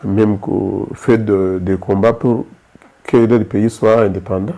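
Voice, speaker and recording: A Rwandan-accented man hosts an interview, pausing often and filling gaps with hesitations. His voice sits low and sounds mellow. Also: steady tone, creaky. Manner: hesitant, many fillers. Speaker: host.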